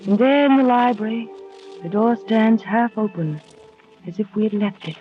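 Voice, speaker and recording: Actress playing a shy young paid companion. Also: female voice, a little high, moderate pace, natural voice. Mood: wistful. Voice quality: soft-spoken, wistful, hesitant. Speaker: actress, narrator. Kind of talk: 1940s radio acting. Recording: dull old radio recording with music.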